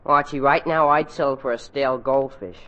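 An actor playing a hungry, reluctant American teenage boy who wisecracks deadpan in 1940s radio comedy. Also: mid-range voice, moderate pace, lightly acted. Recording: muffled, noisy old radio recording.

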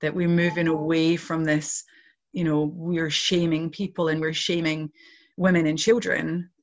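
A woman's voice: low voice, level tone, unhurried. A woman from outside Ireland, a project founder talking earnestly in an interview, with lots of "you know" fillers. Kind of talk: reflective interview talk.

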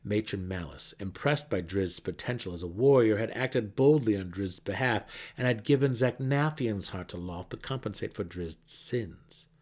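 Low-voiced man narrating dramatic fantasy. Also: normal speed, few pauses, a little mellow. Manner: theatrical, emphatic delivery. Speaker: audiobook narrator. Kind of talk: dramatic fantasy novel reading.

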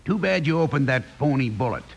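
An actor playing a greedy, threatening American outlaw leader in an old-time radio Western, forceful and gravelly. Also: male voice, mid-range voice, animated, brisk, a little hiss or hum. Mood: menacing. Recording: old radio recording, music behind.

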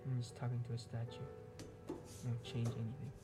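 A man's voice: medium pitch, flat delivery, fairly quick, over loud music, close to an everyday voice. A man playing an anxious, grieving young prince in an audio drama. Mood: sad, wistful.

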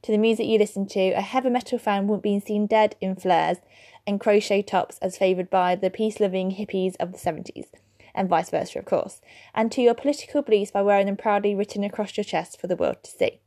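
A chatty woman hosts her podcast alone. Her voice is mellow and soft-edged, and she laughs off her own stumbles. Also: medium pitch, steady tone, a little fast. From England. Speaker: podcast host. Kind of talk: solo podcast commentary.

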